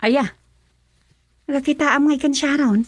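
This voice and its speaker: Lady playing nosy village wife, high voice, ilocano accent, dry close sound, radio comedy. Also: unhurried, no room sound. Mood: inquisitive.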